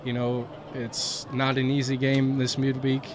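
A young man, a college baseball pitcher, answering post-game questions modestly outdoors at a ballpark, with lots of "you know" fillers. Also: completely flat delivery, a little slow, crowd noise. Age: young adult. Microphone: handheld mic held by interviewer. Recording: outdoor noise around the mic.

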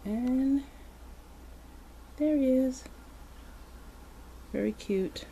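A woman hosting a kids' toy video, narrating as she plays with modeling clay in a slow, gentle, playful way with soft asides. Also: high voice, vocal fry, poor audio. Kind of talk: hands-on toy play narration for kids. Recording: background noise.

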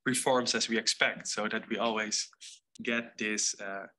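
A young man gives an explanatory conference presentation as a data scientist, with halting pauses. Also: fairly low pitch, steady tone, pushed and bright, a little hiss or hum. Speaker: data scientist, keynote speaker. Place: indoor stage with screens.

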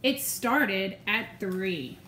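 A woman in her thirties reading a children's picture book aloud with expressive, deliberate storytelling, recorded in a room at home. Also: mid-range voice, reverberant, slight background noise. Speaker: elementary school staff member reading aloud. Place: small room at home, indoors.

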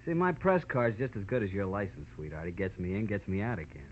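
A man's voice: sits low, expressive, fast. A man playing a slippery, impatient American newspaperman in an old radio crime drama, glib, curt and slangy. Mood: cocky, impatient. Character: cocky, slippery, impatient male newspaper reporter. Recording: dull, faintly noisy old radio recording.

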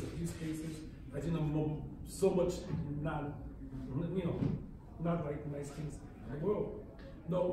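An older man speaking bluntly and fast as a panelist in a panel discussion, recorded from far off in a large hall. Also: fairly high pitch, background noise. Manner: blunt, outspoken, fast-talking. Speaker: panelist, museum founder. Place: large hall with brick walls. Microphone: far room mic.

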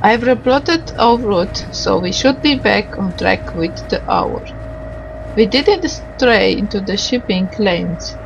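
A woman doing a casual game playthrough, reading the on-screen dialogue aloud in a halting, tentative way and sounding tired. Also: mid-range voice, lively intonation, gravelly, highs cut off, over music.

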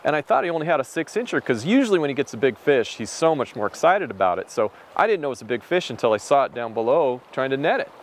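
A man in his forties, a fishing guest, telling a story in a relaxed, wry way from a boat outdoors on a lapel mic. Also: mid-range voice, quick pace, hardly any pauses, a little hiss or hum. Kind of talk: casual chat. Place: drift boat on a river outdoors.

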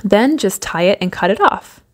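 A woman's voice: mid-range voice, lively intonation, normal speed. Woman narrating a DIY craft tutorial. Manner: practical, step-by-step, with frequent fillers. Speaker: DIY home decor vlogger.

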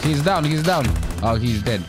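Lithuanian guy gaming on stream, kinda high voice, very up and down, crude broken english, close mic. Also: lively intonation, normal speed, loud music behind the voice. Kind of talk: comic gameplay chat. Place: small indoor streaming room. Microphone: close desk microphone.

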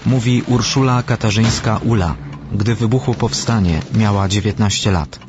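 Man, radio documentary narrator, lower-pitched, scripted historical delivery, noisy ambience behind. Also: normal speed, lo-fi sound.

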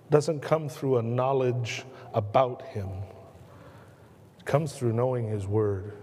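American pastor preaching in a reverberant church. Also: male voice, dark tone. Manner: earnest preaching, slows and drops low on key words, gestures a lot. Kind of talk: sermon. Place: church sanctuary at a pulpit.

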